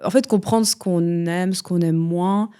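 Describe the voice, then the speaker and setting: A woman's voice: lowish voice, steady tone, a little slow, dark tone, clear, resonant tone. A woman, a guest musician talking in a casual interview, rambling with many fillers and restarts. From Belgium.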